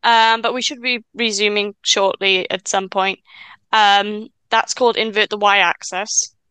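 Welsh-speaking woman, 20s, stuttering guest chatting; bright, clean, dry close sound. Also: medium pitch, steady tone, smooth, no room sound. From Wales. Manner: stammers and restarts, stutter; chatty, quick asides and laughs. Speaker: podcast guest. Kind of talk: casual chat.

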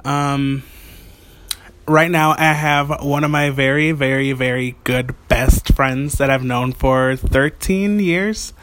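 A man's voice: medium pitch, slow pace, fairly bright tone. Man in his twenties, casual bilingual host, teasing and sweary, background noise. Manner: playful, foul-mouthed teasing. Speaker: host of an amateur show. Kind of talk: casual chat interview, switching English and Spanish. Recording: noisy amateur home recording.

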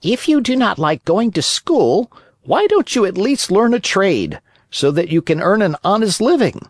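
A storyteller voicing a wise old male talking cricket who lectures in a calm, preachy way, in a storybook reading. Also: medium pitch, moderate pace, no echo, studio quality, voice pushed up. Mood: calm lecturing.

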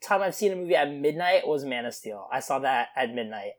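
A man's voice: mid-range voice, fairly quick. Casual young man co-hosting a podcast. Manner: relaxed, lots of uh and um fillers. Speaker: podcast co-host. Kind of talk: casual chat.

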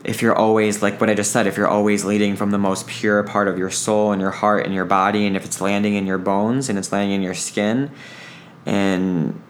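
A young man in his twenties speaks earnestly in a relaxed podcast chat, circling back over his own phrases as he thinks aloud. His voice sits low and stays fairly even. A light hiss runs under the recording. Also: a little fast. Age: 20s. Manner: earnest, reflective, repeats phrases. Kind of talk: casual podcast conversation.

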